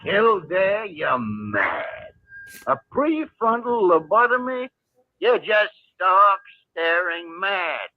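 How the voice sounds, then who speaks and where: An actor playing a gruff, blustery older doctor in a 1950s radio drama, with a brusque, sardonic manner. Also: male voice, sits high, big pitch swings, slow pace, exaggerated voice. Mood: exasperated. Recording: old 1950s radio broadcast, muffled narrow band.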